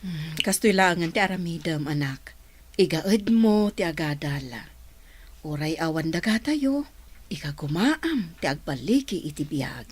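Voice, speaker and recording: Woman acting a husky, patient village mother. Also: deep voice, animated, measured pace, exaggerated voice. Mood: soothing. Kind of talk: Filipino radio drama acting.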